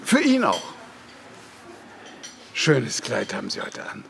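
A man's voice: medium pitch, wildly swooping pitch, slow pace, vocal fry, a bit bright, slight background noise, full character voice. An actor in a radio drama playing a stubborn, dreamy widowed pensioner in his sixties, solemn in verse, hesitant and dry in talk. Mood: flustered, smitten. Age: 60s.

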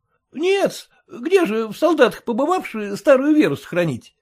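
A man's voice: very high voice, sing-song, normal speed, darkish tone, voice pushed up, exaggerated voice. A man voicing a young male shop clerk who is humble and obsequious, in a story reading. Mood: fawning. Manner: obsequious, adds polite -s to words. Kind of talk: audiobook character reading.

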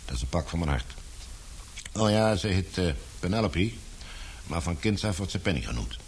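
A man acting in a Dutch radio play as a businesslike, fatherly middle-aged employer with a measured, authoritative manner. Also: low voice, lively intonation. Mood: concerned, fatherly. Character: businesslike, fatherly older male employer, concerned for daughter. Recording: hissy radio play recording.

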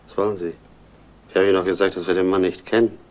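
Male actor as defensive TV suspect: deep, gruff, rapid-fire, dull vintage sound. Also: level tone, a little mellow, lightly acted. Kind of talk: 1960s television crime acting. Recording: muffled old TV soundtrack, faint noise.

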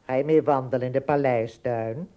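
A man playing a friendly, caring giant girl in a radio drama, coaxing gently, with a muffled sound. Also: medium pitch, fairly even intonation, moderate pace, lightly acted. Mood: caring, cheerful.

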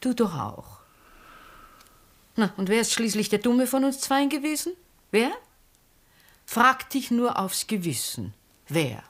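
An actress playing a vivacious, effusive middle-aged former stage star with an Austrian accent in a 1960s radio drama. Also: female voice, on the high side, very animated, slow pace, long pauses, exaggerated voice. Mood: wistful reproach. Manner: theatrical, effusive, often breaking into laughter. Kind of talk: 1960s German-language radio drama acting.

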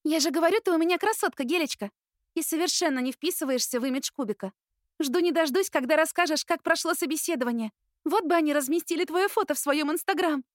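Woman acting young student sister, very high pitch, bubbly, stubborn, girlish excitable chatter, audiobook character, dry sound. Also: fast, no echo, natural voice. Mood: teasing, admiring.

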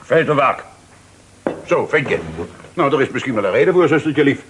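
A man's voice: mid-range voice, expressive, a bit bright, a little hiss or hum, natural voice. An actor playing an aggrieved old pensioned sergeant and father in a Dutch radio play, blustering and stumbling over his words. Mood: indignant. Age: older. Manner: blustering, halting with many hesitations.

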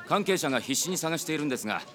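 A man's voice: a little high, lively intonation, racing, fairly bright tone. A man playing a keen junior informant in a Japanese anime radio drama, reporting urgently and politely. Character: keen younger male informant reporting to his superior.

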